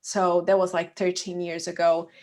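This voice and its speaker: A woman's voice: fairly low pitch, level tone, moderate pace, darkish tone. A woman in her thirties with a Brazilian accent and Portuguese as first language, talking casually and personally as an interview guest. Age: 30s. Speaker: podcast guest, emotional eating coach.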